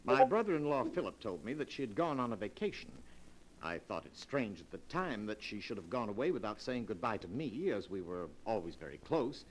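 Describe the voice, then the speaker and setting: An actor playing a calculating murderer in a vintage radio drama, smooth and controlled. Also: male voice, fairly low pitch, very animated, a little fast, a little hiss or hum, low-quality recording. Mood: composed. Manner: smooth and calculating, rising to hysteria. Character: cold scheming male murderer who cracks at the end. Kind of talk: 1940s radio acting.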